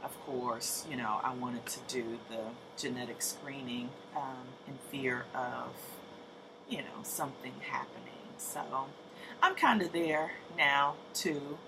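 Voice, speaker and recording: A woman in her late thirties talks slowly and haltingly to her camera, pausing on ums and restarting her sentences. Her voice is low with a gravelly fry, and she sounds tired. The home recording carries clear background noise. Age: 30s. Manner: soft-spoken, hesitant, many ums and restarts. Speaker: vlogger. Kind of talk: casual personal update to camera.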